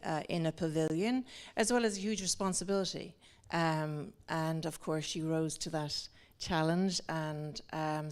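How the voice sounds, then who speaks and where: A woman's voice: fairly low pitch, clean tone. A woman moderating an art talk in a semi-formal way before an audience, speaking in long, winding, hesitant sentences. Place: indoor talk venue with an in-person audience.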